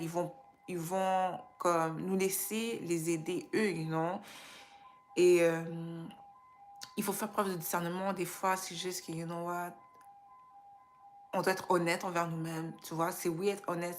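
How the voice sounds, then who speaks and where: A woman with a Quebec French accent touched by Creole and English, chatting informally and motivationally as a live stream host, dropping in English slang. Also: lowish voice. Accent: Quebec French with some Creole and English influence. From Quebec, Canada. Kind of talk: casual motivational live chat.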